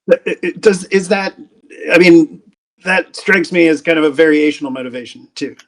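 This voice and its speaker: A man's voice: fairly high pitch, fairly even intonation, moderate pace, fairly bright tone. A man chipping in to a casual technical discussion, tentative and repeating his words as he goes. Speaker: discussion participant.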